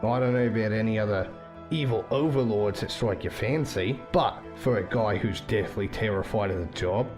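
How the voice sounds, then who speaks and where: A man's voice: lowish voice, moderate pace, over music, close to an everyday voice. A man playing a loyal, reasonable defense advocate in a visual novel, speaking persuasively and plainly.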